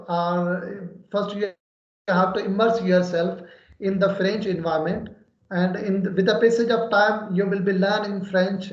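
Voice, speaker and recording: A man whose first language is Urdu, an English teacher, explaining in an earnest way with many pauses and fillers. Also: high-pitched. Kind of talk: casual conversation practice. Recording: echoey room, dull lo-fi audio.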